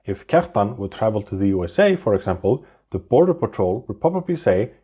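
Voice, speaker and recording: A man from Iceland with an Icelandic accent, narrating a scripted explainer video in a lively, wry, chatty way. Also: fairly low pitch, brisk, slightly rough. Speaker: video host.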